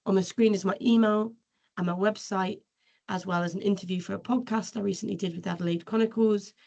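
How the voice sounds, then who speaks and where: A woman giving an earnest, measured research presentation over a video call webinar. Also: medium pitch, steady tone, creaky, darkish tone, highs cut off.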